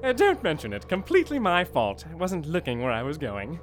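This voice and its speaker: An actor playing a polite, absent-minded gentleman who fusses and chuckles. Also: a little high, huge pitch swings, fairly quick, slight background noise. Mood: flustered. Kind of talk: audio drama acting.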